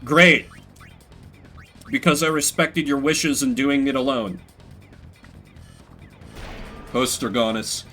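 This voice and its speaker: A man's voice: mid-range voice, a little slow, soft background music. A man streaming games, chatting casually with viewers in a rambling, story-telling way. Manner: rambling, animated retelling with acted-out quotes. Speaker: game streamer. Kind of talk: casual livestream chat with viewers.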